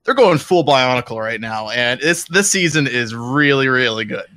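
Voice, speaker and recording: A man with a bright, crisp-edged voice at an average pitch. He talks casually as a podcast co-host and likes to tease and rib the people he is chatting with. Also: expressive, normal speed, no echo, clean studio sound. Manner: teasing, ribbing his co-host. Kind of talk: casual group podcast banter.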